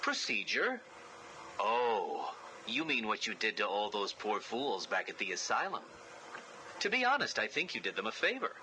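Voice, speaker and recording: A man's voice: lowish voice, huge pitch swings, normal speed, bright, forceful tone, slight background noise, exaggerated voice, rough recording. A man voicing an arrogant, narcissistic genius villain in a video game, with a smug, theatrical, mocking delivery. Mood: taunting.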